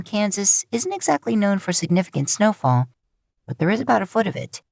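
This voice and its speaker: A woman reading scripted fiction as a narrator, with measured storytelling delivery. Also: on the low side, gravelly, darkish tone, dry recording, studio quality. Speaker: podfic narrator.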